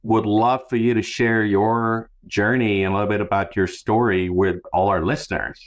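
A male podcast host with a low voice. He speaks in a conversational way, as one does when introducing topics and putting questions to a guest. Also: flat delivery, moderate pace. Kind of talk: conversational interview hosting.

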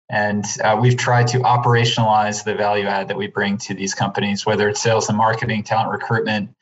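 Man, business interview guest, low pitch, little variation, hesitant repeats, muffled lo-fi sound. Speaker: investment firm partner, podcast guest. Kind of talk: interview answer, business talk. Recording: dull, lo-fi voice recording.

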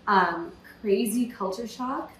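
Thirties woman guest, animated storyteller, mid pitch, roomy sound. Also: slow. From United States. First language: Thai. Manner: animated, laughs often, quotes herself in bright voice. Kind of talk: casual interview talk. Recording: echoey room, faint noise.

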